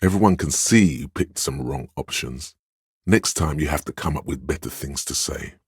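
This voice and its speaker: A profoundly low, clean bass plays the domineering voice of authority inside a cop's head in a video game. He is imperious and measured, scolding and goading like a superior giving orders. The sound is dry and close. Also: breathy edge, no room sound, heavily acted. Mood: stern, scolding. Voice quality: very deep, resonant bass. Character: commanding, domineering inner voice of authority in a cop's mind. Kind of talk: dramatic game narration, inner-voice lines.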